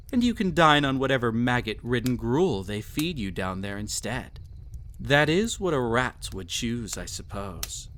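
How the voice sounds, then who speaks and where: A man's voice: mid-range voice, fairly bright tone, a little hiss or hum. A man playing a cruel, possessive, vain emperor toying with a captive in an intimate audio roleplay, with haughty, teasing, theatrical phrasing. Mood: condescending, coaxing.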